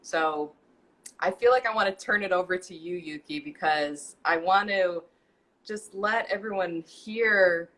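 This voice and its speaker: A woman's voice: fairly low pitch, unhurried, a bit bright. A woman hosting a live class, earnestly introducing her guest and handing over the floor. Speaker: host.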